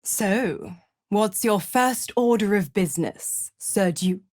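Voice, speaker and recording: A woman's voice: mid-range voice, animated, takes it slowly, darkish tone, dry recording, studio quality. An actress playing a seductive, scheming female devil in a video game, mocking and purring with condescending endearments. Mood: sly, teasing. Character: seductive, scheming, gloating female devil who makes pacts. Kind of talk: theatrical game character dialogue.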